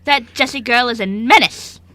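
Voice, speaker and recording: A high, bright-edged orphanage nurse, played by a woman, stern and fed up. She is curt and sardonic, a radio drama figure laying down the law. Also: moderate pace. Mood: stern, exasperated. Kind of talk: amateur radio play acting.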